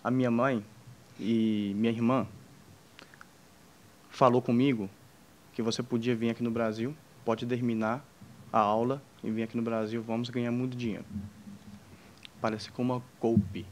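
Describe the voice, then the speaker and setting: A young man from China speaks with a clear Chinese accent and a pitch right in the middle for a man. He tells his story informally to a crowd, in stops and starts, full of restarts and little jokes. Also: little pitch movement, slight background noise. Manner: halting, many fillers and restarts, jokes with the audience. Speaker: entrepreneur speaking on a panel. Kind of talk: informal personal story told to an audience.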